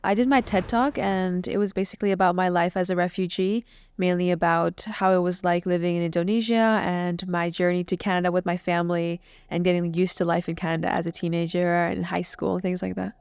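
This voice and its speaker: Young woman guest from Iran, smooth clear voice, dry studio sound, earnest and reflective delivery. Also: on the low side, level tone, a little fast, darkish tone, dry recording. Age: young adult. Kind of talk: podcast interview. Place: small podcast studio. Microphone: boom mic on stand.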